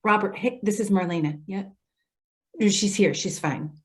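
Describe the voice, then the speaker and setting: A woman's voice: medium pitch, normal speed, natural voice. Woman acting a concerned, coaxing social worker. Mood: weary, confiding. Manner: coaxing, earnest. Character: concerned, patient female elder-affairs social worker. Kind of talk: readers theater stage acting.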